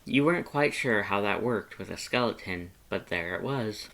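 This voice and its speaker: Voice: sits low, animated, moderate pace, gravelly, a bit bright. A narrator reading second-person fan fiction as a scripted story.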